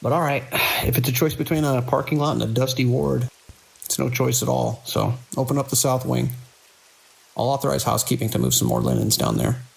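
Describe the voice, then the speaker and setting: A man playing a weary hospital director and surgeon in an audio drama, speaking in a measured, formal, wry way. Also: mid-range voice, animated, creaky, husky, full character voice, low-quality recording. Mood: businesslike, strained. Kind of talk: scripted audio drama narration.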